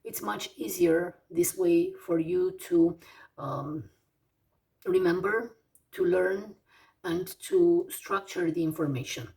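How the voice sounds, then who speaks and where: A Romanian woman in her forties with a Romanian accent, teaching English vocabulary slowly, with many fillers and pauses as she lists words one by one. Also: lowish voice, lively intonation, gravelly, darkish tone, recorded from a distance. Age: 40s. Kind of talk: slow vocabulary lesson.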